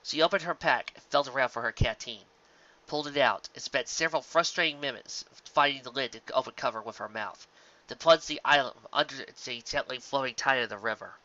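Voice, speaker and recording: A narrator giving a measured, scripted dramatic story reading, occasionally stumbling over words. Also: mid-range voice, bright, forceful tone, lo-fi sound. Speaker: amateur audiobook narrator.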